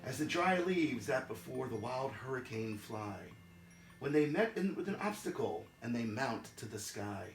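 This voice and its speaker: A man's voice: expressive, normal speed, natural voice. A man playing a jolly Santa Claus who reads a Christmas storybook poem aloud in a theatrical, dramatic way. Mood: storytelling. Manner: theatrical, swelling into loud calls and dropping low. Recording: roomy echo, music behind.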